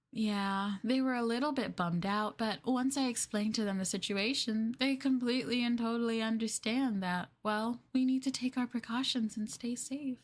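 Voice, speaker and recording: A woman playing an obsessive, sweetly possessive young girlfriend in an intimate ASMR roleplay, cooing and coaxing the listener with soft, breathy asides. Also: on the high side. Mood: falsely reassuring.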